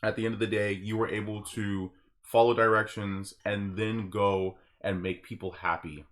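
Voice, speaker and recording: A man's voice: on the low side, level tone, moderate pace, fairly bright tone. A man chatting casually on a group podcast as a host, explaining his opinions.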